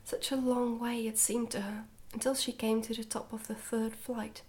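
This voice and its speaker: A woman reads a story aloud for ASMR. Her voice is whispered and breathy, sitting slightly high. She tells the tale gently and carefully, keeping everything soft and hushed. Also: level tone, normal speed, slight background noise. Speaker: ASMR book reader.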